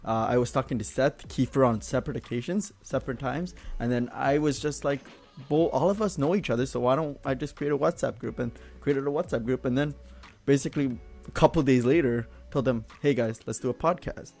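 A man's voice: medium pitch, a little fast, darkish tone, soft background music. A man in his twenties hosting a casual podcast chat among friends. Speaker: podcast host.

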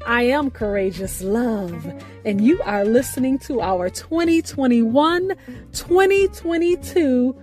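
A woman radio host reading a scripted show introduction with deliberate, announcer-like phrasing. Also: fairly high pitch, animated, darkish tone. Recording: music bed behind the voice. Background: music.

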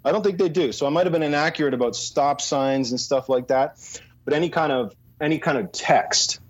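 A man's voice: fairly high pitch, fairly quick. A man from Canada, a podcast guest, chatting casually and self-deprecatingly, laughing at his own tangents. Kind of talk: casual chat. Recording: somewhat dull lo-fi sound.

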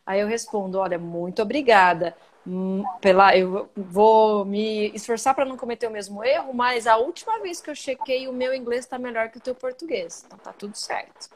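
A Brazilian woman being interviewed on a live video call, telling stories in an animated, outspoken way. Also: a little high, moderate pace, few pauses. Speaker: guest, coffee journalist and podcaster. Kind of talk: casual interview chat.

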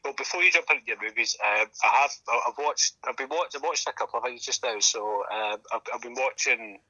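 A man's voice: mid-range voice, fairly quick, bright, forceful tone, no echo, muffled recording. A man co-hosting a podcast from his bed at home, nursing a cold and sounding a bit snuffly, laid-back and cheerfully sweary. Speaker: podcast co-host. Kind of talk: casual chat.

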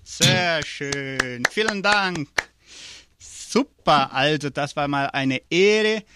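Slow-talking upbeat male host. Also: fairly high pitch, lively intonation, noisy background. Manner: chatty, hesitant, upbeat. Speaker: radio host. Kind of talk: live interview and prize draw.

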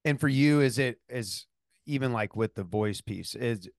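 A man's voice: medium pitch, moderate pace, dry recording, clean studio sound. A man interviewing a guest, asking questions in a careful, hesitant way with many fillers and restarts. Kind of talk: casual interview conversation.

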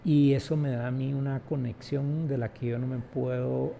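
A man's voice: mid-range voice, fairly even intonation, moderate pace, creaky, mellow, slight background noise. A man, speaking emphatically as an interview guest and often adding rhetorical tag questions. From Guatemala. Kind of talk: reflective conversational interview.

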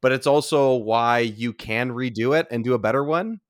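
A man's voice: medium pitch, normal speed, dry recording, studio quality. A man in a casual podcast discussion, conversational, often repeating and rephrasing his points.

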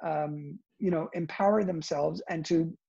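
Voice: medium pitch, fairly even intonation, a little slow, gravelly, warm tone, slightly rough, highs cut off. A native Italian speaker who works with a homeschooling organization, answering interview questions thoughtfully, with many fillers and "right?" tags.

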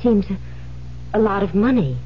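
An American actress playing a frightened young bride in a radio drama, hesitant and halting as she hides her fear. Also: medium pitch, expressive, close to an everyday voice. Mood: uneasy. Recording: dull, noisy old radio recording with hum.

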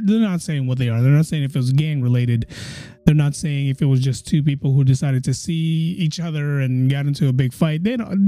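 A chatty man in casual podcast commentary, his voice very dark and mellow. He speaks a little higher than most men and keeps circling back to repeat his points. The sound is dry and close, with faint music underneath. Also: no room sound. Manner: chatty, repeats phrases for emphasis. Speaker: podcast host.

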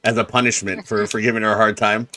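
A man's voice: on the low side, quick pace. A man in his twenties co-hosting a podcast, joking and teasing in casual group chat. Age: 20s. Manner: joking, teasing, animated storyteller. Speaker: podcast co-host.

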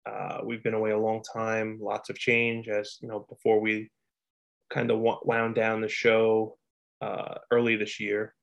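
A man's voice: on the low side, completely flat delivery, measured pace, creaky, dull audio. A man co-hosting a podcast in a loose, rambling casual chat, often breaking into laughter. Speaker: podcast co-host.

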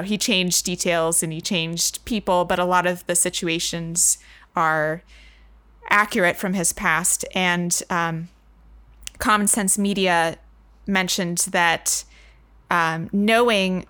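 A woman's voice: fairly low pitch, bright, forceful tone. A woman co-host explaining points conversationally in a book discussion. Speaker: podcast co-host.

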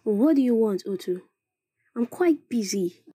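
A woman acting a sharp-tongued young woman in love in an audio play, with a Ghanaian accent. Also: on the high side, lively intonation, a little slow, husky, no room sound, clean studio sound. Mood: irritated. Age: young adult. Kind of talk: dramatic dialogue in an audio play.

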